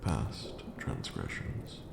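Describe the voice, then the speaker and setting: A man playing an ancient, brooding vampire lord in a slow ASMR roleplay monologue, hushed and grandiose, with measured archaic phrasing. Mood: grim, brooding. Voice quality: very deep, gravelly, hushed. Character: ancient male vampire lord, brooding, cruel yet now weary. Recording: roomy echo, audible background noise.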